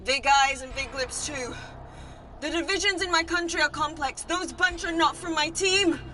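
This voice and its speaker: Cheeky, high-voiced captive woman. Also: normal speed, bright, forceful tone. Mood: defiant. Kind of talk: live-action film acting. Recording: noisy film ambience behind voice.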